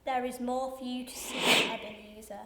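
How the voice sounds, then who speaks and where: Girl as high, eerie Christmas ghost. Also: flat delivery, moderate pace, lightly acted. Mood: commanding. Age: teenager. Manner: eerie, commanding. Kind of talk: radio play acting. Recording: echoey room with faint noise.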